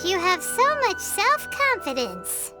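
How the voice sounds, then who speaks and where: A woman's voice: very high-pitched, wildly swooping pitch, slow pace, exaggerated voice. A woman voicing a tiny, bubbly, sassy floating companion creature in a video game, blunt and teasing. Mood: curious. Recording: game audio with loud music.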